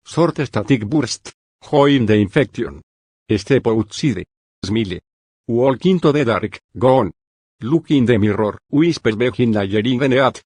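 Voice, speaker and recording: A man's voice: mid-range voice, expressive, unhurried, darkish tone, breathy edge, dry recording. A man voicing a sinister creature that hijacks an emergency broadcast, taunting and menacing.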